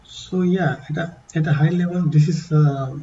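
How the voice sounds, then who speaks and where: A man with an accent walking through a software demo in a halting, casual way, with many fillers and restarts. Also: a little high, fairly even intonation, dull audio, a little hiss or hum. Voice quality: non-native English accent. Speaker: tutorial presenter. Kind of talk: casual screen-share walkthrough.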